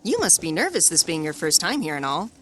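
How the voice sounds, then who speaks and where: Lowish, reassuring adventurer. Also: female voice, big pitch swings, fairly quick, fairly bright tone, a little hiss or hum. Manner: reassuring, polite. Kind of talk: video game cutscene acting.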